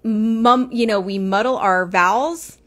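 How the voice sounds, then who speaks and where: A woman's voice: mid-range voice, fairly bright tone. Chatty Texan woman livestreaming. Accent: Texas, with Midwestern turns. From Texas, United States. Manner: chatty, rambling, quick asides to viewers. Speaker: livestream host. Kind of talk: casual livestream chat. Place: small room indoors. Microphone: earphone headset mic, phone camera close.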